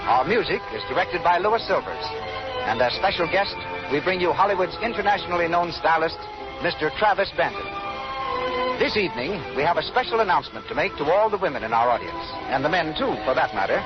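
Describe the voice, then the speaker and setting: A man's voice: fairly high pitch, expressive, a little fast, music in the background. A man announcing a 1930s radio show from a script, in a formal, polished sales delivery. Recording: muffled old 1930s radio broadcast.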